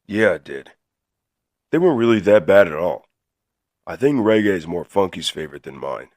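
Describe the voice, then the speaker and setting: Proud, laid-back cartoon gorilla, male actor, low-pitched, matter-of-fact, lively delivery. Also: moderate pace. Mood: easygoing. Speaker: co-host of a fan question show. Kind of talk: fan audio drama voice acting.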